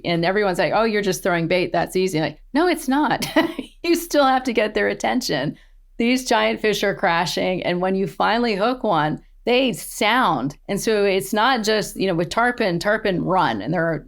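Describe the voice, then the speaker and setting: A middle-aged woman, a podcast guest and angler, telling her stories with enthusiasm and frequent laughs. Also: mid-range voice. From born in Australia, raised in the eastern United States. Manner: enthusiastic storyteller, laughs often. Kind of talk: casual interview chat.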